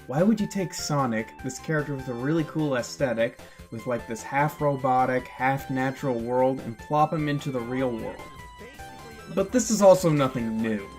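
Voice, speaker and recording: A man's voice: medium pitch, normal speed, a little mellow, soft background music. A man giving casual, opinionated film commentary in a blunt, sardonic way. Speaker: video essayist reviewing films.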